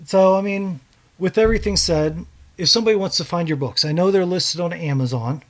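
A man's voice: high voice, a little fast. A man hosting a podcast, interviewing a guest author in a casual, conversational way.

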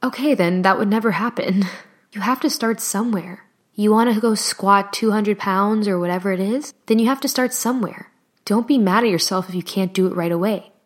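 A young adult woman hosting a solo motivational podcast, earnest and encouraging, her voice slightly hoarse from talking. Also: medium pitch, brisk. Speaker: podcast host. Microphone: studio microphone.

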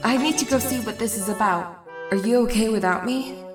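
A woman's voice: a little high, a little fast, natural voice. Woman playing echoey, plain-spoken loyal friend. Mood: reassuring. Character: loyal, straightforward friend of a superheroine. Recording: roomy, distant, music behind.

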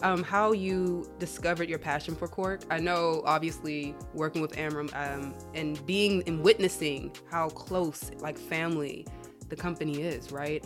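A woman's voice: low voice, steady tone, unhurried, over music. A woman hosting a conversational interview in an informal way, often checking in with short tag questions. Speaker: podcast host, interviewer.

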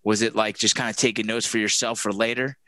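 A man's voice: fairly low pitch, level tone, fast, bright, forceful tone, no echo. A man hosting a casual interview, conversational and repeating words as he forms his questions. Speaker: podcast host, army veteran.

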